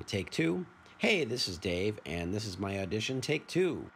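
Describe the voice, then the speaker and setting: Deep, creaky man on a test recording. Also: very animated, unhurried. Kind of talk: short test audition recording. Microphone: iPhone built-in microphone. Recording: phone recording replayed, faint noise.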